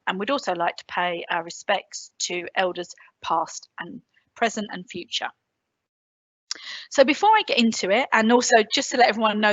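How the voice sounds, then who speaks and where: A woman's voice: mid-range voice, a bit bright, no room sound, muffled recording. A woman with a London accent giving an online conference talk, chatty, with many "um"s and light asides. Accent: London English. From England. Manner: chatty, filler-heavy, self-deprecating asides. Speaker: conference presenter. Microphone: computer mic on a video call.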